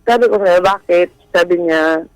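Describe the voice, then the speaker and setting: A woman's voice: on the low side, brisk, a little mellow, clean tone, no room sound, rough recording. A woman with a Filipino accent telling a personal story as a guest, in a casual and hesitant style. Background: background music.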